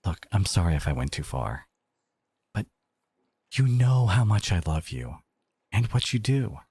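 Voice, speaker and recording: A man acting a devoted, protective boyfriend in an audio roleplay, speaking in an earnest, halting, conversational way with an edge of sarcasm. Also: sits low, sing-song, mellow, breathy edge, no room sound, heavily acted. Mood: proud, tender. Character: devoted, protective boyfriend confronting his partner's family, adult man.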